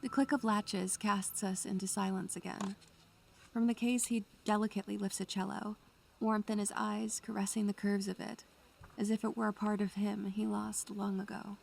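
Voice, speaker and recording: Woman playing a wistful student narrator, creaky voice. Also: medium pitch, level tone, a little hiss or hum, lightly acted, low-quality recording. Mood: wistful, hushed. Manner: poetic, measured storytelling. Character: wistful, curious young female student narrating her story. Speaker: narrator and lead character. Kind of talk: first-person audio drama narration and dialogue. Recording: music under the voice.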